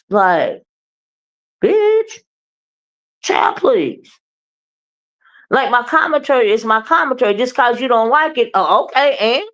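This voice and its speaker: Woman, loud and boisterous, blunt and profane, moody livestream ranter. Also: a little high. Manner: blunt, profane, keeps asking "okay?" and "right?"; moody, confrontational. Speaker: live streamer and commentator. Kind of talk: ranting live commentary.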